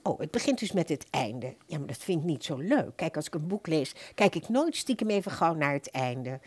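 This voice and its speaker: A woman playing a simple, earnest customer in a radio play, plain-spoken, puzzled and gently persistent. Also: deep voice, sing-song, moderate pace, breathy edge, full character voice. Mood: earnest, disappointed. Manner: plain-spoken, questioning, insistent.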